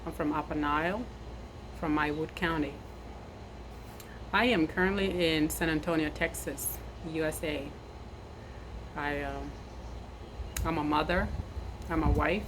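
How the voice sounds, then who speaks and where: A woman in her thirties from South Sudan, a nurse, speaking earnestly to camera outdoors in the wind, measured and repeating phrases for emphasis. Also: sits low, fairly even intonation. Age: 30s. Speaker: nurse and army veteran sharing her story. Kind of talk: personal address to camera. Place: outdoors, windy. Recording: noisy outdoor recording with wind.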